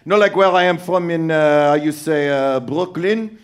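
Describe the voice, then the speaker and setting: A man's voice: on the high side, moderate pace, clean tone, natural voice. A man playing a brash Brooklyn man who puts on a fake French accent and keeps asking "how you say". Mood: smug. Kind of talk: improv comedy bit.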